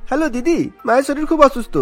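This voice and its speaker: A woman puts on a hurried young cartoon man. The voice is pitched far below the performer's own and rattles along quickly, brisk and rushed, over music. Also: fairly bright tone. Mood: urgent. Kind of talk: Bengali cartoon character voice.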